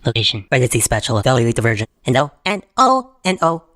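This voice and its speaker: A man voicing an excitable robot in a video game parody, babbling in a disjointed, repetitive way. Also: medium pitch, quick pace, no room sound, clean studio sound. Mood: excited babbling. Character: excitable, babbling male robot sphere speaking nonsense.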